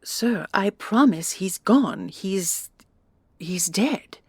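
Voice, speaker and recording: A woman playing a proud, anxious female commandant in a sci-fi audio roleplay, formal and deferential, with a husky, breathy voice and halting delivery. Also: medium pitch, expressive, no room sound, studio quality, full character voice. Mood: anxious, insistent. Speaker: voice actress and creator.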